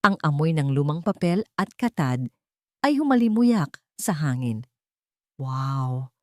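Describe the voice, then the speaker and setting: A woman's voice: low-pitched, big pitch swings, measured pace, no room sound, studio quality. A woman reading a scripted, dramatic story as its narrator.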